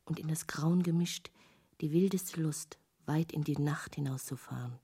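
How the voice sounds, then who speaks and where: An actress in a literary radio play playing an intense, searching woman painter, speaking intimately and deliberately in a breathy, creaky half-whisper. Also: low voice, mellow, exaggerated voice. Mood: hushed, wondering. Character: intense female painter hungry for forbidden knowledge, musing.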